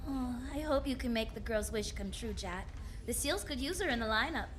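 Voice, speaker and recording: A woman's voice: fairly high pitch, expressive, brisk, slight background noise, natural voice. A woman playing a sassy, unflappable female private detective in a noir radio drama, wry, breezy and slangy. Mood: cool, teasing.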